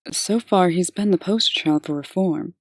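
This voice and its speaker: Lady as low voiced deputy in a comic dub, composed, formal, dry wit, no room sound. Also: dry recording, close to an everyday voice. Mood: dry, candid. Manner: measured, formal. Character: composed, dutiful, dryly candid female deputy.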